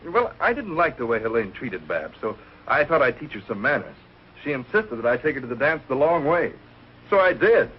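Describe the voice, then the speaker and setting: Actor as eager, animated young American suitor. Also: male voice, medium pitch, fairly quick, lightly acted. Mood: amused. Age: young adult. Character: earnest, polite young college suitor working as chauffeur. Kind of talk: 1940s American sitcom acting. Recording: dull old broadcast, faint hiss.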